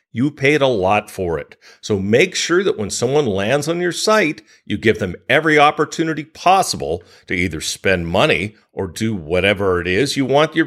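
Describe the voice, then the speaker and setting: A man's voice: lowish voice, fairly bright tone. A man reading scripted advice to listeners as a podcast host. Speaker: podcast host giving a business tip.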